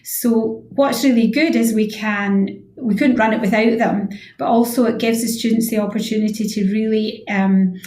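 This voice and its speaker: A woman's voice: on the high side, fairly even intonation, normal speed, hardly any pauses, darkish tone. A Scottish woman in her sixties giving thoughtful, wordy answers as a guest in a conversational radio interview, often repeating her phrases. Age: 60s. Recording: echoey room sound.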